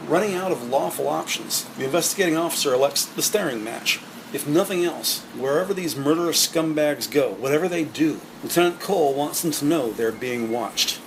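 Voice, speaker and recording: A man's voice: fairly high pitch, expressive, brisk, background noise. A man narrating a scripted audio drama with dramatic, present-tense storytelling.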